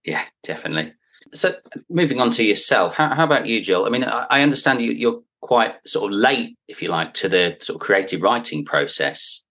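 Low-voiced man, tentative interviewer. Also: sing-song, fairly quick, bright, forceful tone. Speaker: podcast host, interviewer. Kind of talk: casual interview.

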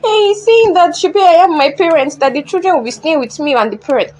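A woman plays a despairing young Nigerian mother in an audio drama. Her high voice is tearful and strained as she pleads and laments, with faint music behind her. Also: fast talker, a little mellow. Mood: upset, protesting. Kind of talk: emotional audio drama acting.

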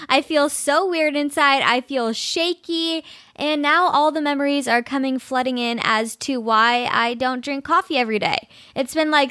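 High, bright-voiced chatty young woman. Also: dry recording, studio quality. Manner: chatty, laughing, dramatic. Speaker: podcast host. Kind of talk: casual solo podcast chat.

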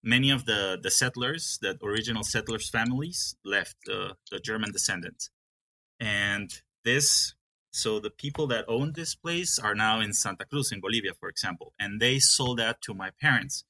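A man's voice: fairly low pitch, normal speed, sharp tone. A young man from Peru who manages a coffee farm, talking in a casual interview and often stopping to restart his sentences. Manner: hesitant, with many restarts.

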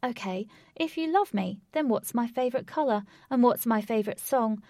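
A woman voicing a defiant young woman who confronts her stalker in a raised, forceful voice. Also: animated, moderate pace, dry recording, studio quality. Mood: insistent. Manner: forceful, pleading, high.

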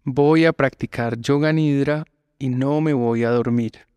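A man guiding a relaxation meditation as a yoga instructor, giving calm, measured instructions with long pauses between phrases. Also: medium pitch, clean tone, no echo. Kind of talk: slow guided relaxation meditation.

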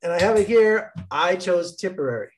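A man's voice: high-pitched, animated, normal speed, rough recording. A man from Las Vegas interviewing an actor, telling long rambling stories in a friendly tone. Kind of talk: casual interview.

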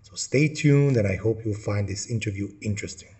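A man's voice: lowish voice, brisk, heavy background noise. A man hosting a podcast interview, introducing the show and asking questions hesitantly, with many ums and restarts. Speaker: podcast host.

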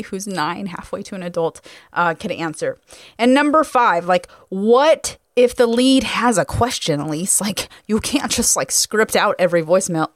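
A woman's voice: mid-range voice, dry recording, clean studio sound. A woman hosting a coaching podcast, talking informally in a slangy, emphatic, conversational way. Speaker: podcast host and car sales coach.

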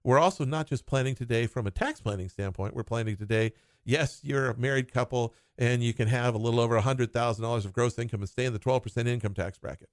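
A man's voice: medium pitch, fast, no echo, studio quality. A man who talks as a financial advisor, explaining retirement planning in short clauses and laughing now and then. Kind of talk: conversational explanation.

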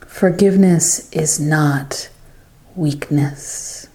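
A woman leading a scripted devotional guided meditation, with hushed phrase endings and long pauses. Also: low-pitched.

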